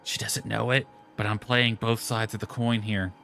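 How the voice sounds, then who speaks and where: A man's voice: fairly low pitch, level tone, moderate pace, creaky, fairly bright tone. A man giving casual game commentary, reading dialogue aloud with offhand asides. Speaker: gamer commenting on own playthrough. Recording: lo-fi music playing behind. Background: background music.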